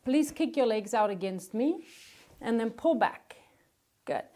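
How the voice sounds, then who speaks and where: A woman's voice: on the high side, animated, a little slow, warm tone. A woman teaching a clinical exam, giving a patient polite, step-by-step directions with brief words of encouragement. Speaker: nursing instructor demonstrating an exam.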